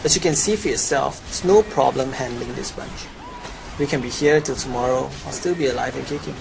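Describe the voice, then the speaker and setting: A young man narrates a video game guide as a scripted sales pitch. His voice sits at a middle pitch. There is audible noise in the recording. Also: brisk. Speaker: gamer presenting a gold-making guide. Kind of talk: scripted promotional voice-over with game commentary. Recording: clearly noisy home recording.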